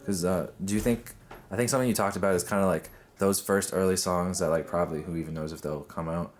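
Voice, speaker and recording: Deep-voiced man asking easygoing questions. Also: fast. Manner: relaxed, hesitant questions with many restarts. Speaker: interviewer. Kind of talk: casual interview. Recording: roomy with faint background noise.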